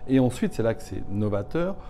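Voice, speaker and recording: Calm man explaining a training course. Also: medium pitch, creaky, a little hiss or hum. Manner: measured, orderly explaining. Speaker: training program organizer. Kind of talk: explanatory interview.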